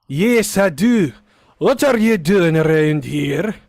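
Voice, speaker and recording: A man's voice: on the high side, expressive, takes it slowly, vocal fry, a little mellow, no echo, clean studio sound, full character voice. A man playing a kindly, teasing policeman in a vintage-style radio play. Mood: fatherly. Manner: fatherly, teasing. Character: kindly, amused male beat cop. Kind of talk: 1940s radio acting.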